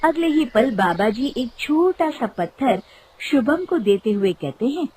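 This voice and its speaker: A woman's voice: high-pitched, very animated, measured pace, a little mellow, slightly rough. A woman reading scripted narration for a moral storybook cartoon.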